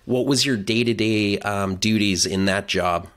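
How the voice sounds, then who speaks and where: A man's voice: fairly low pitch, a little slow, fairly bright tone. A man hosting a podcast who asks a guest short, curious questions. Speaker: podcast host. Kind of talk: interviewing a guest.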